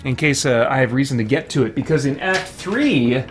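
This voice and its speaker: A man's voice: medium pitch, expressive, brisk, faint music behind. A man hosting a video game playthrough, musing and thinking aloud in casual commentary as if talking to himself. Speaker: video game let's player, host.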